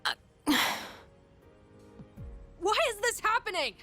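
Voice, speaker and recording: A woman's voice: extremely high pitch, big pitch swings, slow pace, bright, forceful tone, faint music behind, exaggerated voice. An actress playing an earnest, distressed but resolute teenage girl in a video game, with urgent delivery. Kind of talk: English video game voice acting.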